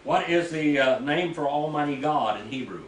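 An older man leads a Bible class with a slightly high voice, often asking his listeners questions as he reads scripture. The sound comes from a distance in an echoey room. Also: lively intonation, normal speed, slight background noise. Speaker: preacher teaching a Bible class. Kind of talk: Bible teaching lecture. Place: small church room, indoors. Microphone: room mic from a distance.

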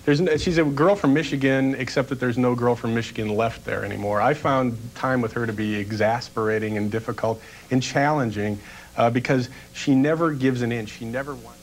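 A man's voice: mid-range voice, fairly quick, a little hiss or hum, rough recording. A man speaking candidly and bluntly in conversation, with hesitant phrasing.

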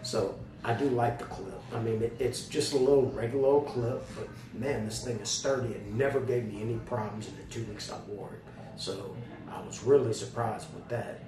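A slightly hoarse man giving a casual, folksy product review straight to his viewers. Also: mid-range voice, fairly even intonation, moderate pace. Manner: folksy, colloquial, addressing viewers directly. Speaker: product reviewer, channel host. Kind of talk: casual chatty holster video review. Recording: roomy, distant mic with loud background noise.

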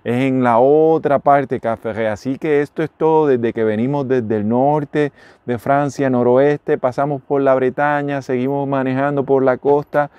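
A man's voice: mid-range voice, a little mellow, clean tone, no echo, lo-fi sound. A middle-aged man with a Puerto Rican accent, chatting casually to his phone camera inside a motorhome, rambling and correcting himself as he goes. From Puerto Rico. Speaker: vlogger. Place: inside a motorhome, small room. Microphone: phone at arm's length.

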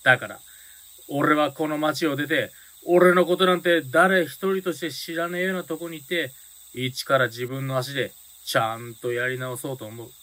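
An actor playing an earnest high-school gang boss in a Japanese radio drama, speaking sincerely in rough delinquent slang. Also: male voice, normal speed, fairly bright tone, lightly acted. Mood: earnest, resolved.

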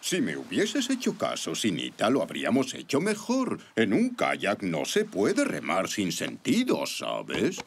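Creaky, lecturing cartoon zebra. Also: male voice, on the low side, wildly swooping pitch, measured pace, hardly any pauses, background noise, exaggerated voice. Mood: reproachful. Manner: reproachful, lecturing. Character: grumbling, lecturing male zebra in children's cartoon. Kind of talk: children's cartoon dub acting. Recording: music behind the voice.

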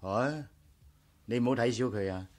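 An actor with a Hong Kong Cantonese accent playing an eager, stubborn engineer in a 1980s radio drama. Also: male voice, mid-range voice, normal speed, darkish tone, natural voice. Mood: eager, proud. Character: eager, stubborn male engineer, proud of his gadgets.

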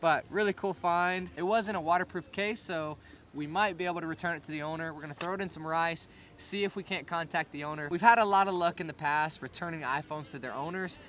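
Bright-voiced young man, upbeat vlogger. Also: a little high, fast talker, a little hiss or hum. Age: young adult. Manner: upbeat, laughing often, chatty. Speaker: treasure-hunting diver and vlogger. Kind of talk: casual show-and-tell vlog. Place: outdoors at a picnic table in a parking area.

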